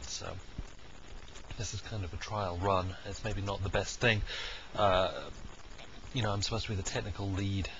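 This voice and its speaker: Low-voiced man with a cold. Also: moderate pace, pauses often. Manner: rambling, thinking aloud with frequent ums and uhs. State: congested with a heavy cold. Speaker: video diarist, software technical lead. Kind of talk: unscripted casual video diary. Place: dining room at home, indoors. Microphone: cheap webcam microphone. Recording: dull lo-fi webcam sound with steady background noise.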